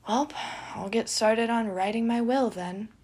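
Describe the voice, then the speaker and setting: A woman's voice: a little high, a little slow, a little mellow. A woman voicing a proud, theatrical young man who bickers with dramatic, dry retorts in an audio story. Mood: wry.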